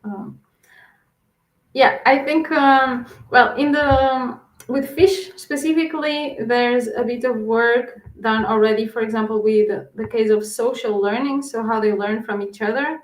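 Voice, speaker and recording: A woman's voice: a little high, measured pace, reverberant, low-quality recording. A woman from Portugal with a Portuguese accent, a researcher answering interview questions with many fillers and restarts. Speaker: guest researcher.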